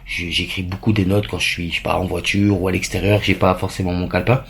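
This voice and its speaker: A man's voice: sits low, flat delivery, fast talker, gravelly, a bit bright, slight background noise. A man from France, talking casually and earnestly to the camera as a vlogger, repeating phrases for emphasis. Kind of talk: casual vlog chat to camera.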